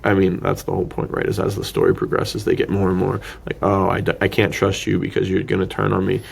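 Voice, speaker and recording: A man's voice: deep voice, monotone, fast talker, gravelly, slight background noise. A man co-hosting a podcast, discussing film casually and thinking aloud in a rambling, slangy way. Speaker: podcast co-host.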